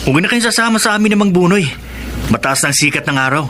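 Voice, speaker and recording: A man's voice: high-pitched, animated, quick pace, noisy background. A man playing a protective, concerned young son from the province in a Filipino radio drama.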